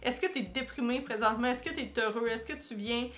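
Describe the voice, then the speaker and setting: A woman's voice: fairly high pitch, fairly quick, a bit bright. A woman from Quebec with a Québécois accent, hosting a casual explanatory commentary, talking straight to viewers and correcting herself as she goes. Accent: Québécois French. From Quebec, Canada. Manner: conversational, self-correcting, addresses viewers directly. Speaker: YouTube host and marketing commentator.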